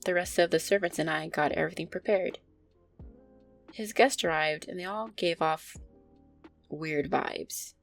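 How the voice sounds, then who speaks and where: A woman acts out an affectionate vampire girlfriend in an intimate audio roleplay. Her voice sits fairly low with plenty of fry, and she speaks in a hesitant, conversational way, easily flustered. Music plays softly behind her. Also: a little slow, long pauses. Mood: earnest, reminiscing. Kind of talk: intimate audio roleplay, first-person storytelling to a listener.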